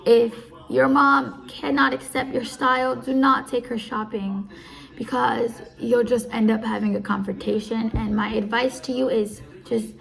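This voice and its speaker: Young woman with a gravelly voice. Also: a little high, little pitch movement, unhurried, a bit bright, rough recording. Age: early 20s. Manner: rambling, easily distracted, repeats herself. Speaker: audio live streamer. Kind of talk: casual advice talk.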